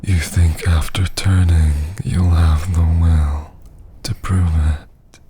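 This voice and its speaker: A man voicing a domineering, seductive vampire lord in an intimate ASMR roleplay, with a husky, often half-whispered voice and a teasing, condescending manner. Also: low-pitched, level tone, mellow, full character voice. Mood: mocking, intrigued. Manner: slow, teasing, condescending drawl.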